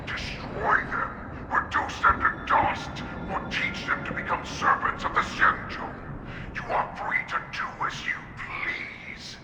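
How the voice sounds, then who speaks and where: An actor voicing a ruthless male warlord in a game cutscene, coaxing slowly and calling himself a monster made for war. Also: reverberant, noisy background, lightly acted. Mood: menacing. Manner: coaxing, slow and menacing. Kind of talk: video game cutscene voice acting.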